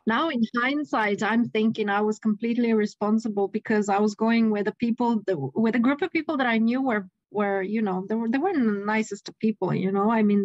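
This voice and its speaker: A woman in her forties from Romania, speaking with a Romanian accent, telling a story as an anthropologist guest in an animated way on a video call. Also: mid-range voice, no echo. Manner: animated, frequent restarts, laughs mid-sentence. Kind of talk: casual interview storytelling. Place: home room with bookshelves. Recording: dry, muffled video call audio.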